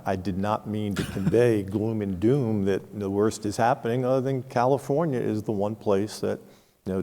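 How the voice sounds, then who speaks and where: Low gravelly guy on an industry panel, mellow tone, frank and measured, hall echo. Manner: frank, measured, explains with examples. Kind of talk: unscripted panel discussion. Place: conference hall. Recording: long reverb of a conference hall.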